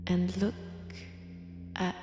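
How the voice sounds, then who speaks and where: A woman with a French accent playing a menacing, seductive female vampire in an intimate roleplay audio drama, softly taunting and often whispering. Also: drawn-out words. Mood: coaxing, commanding.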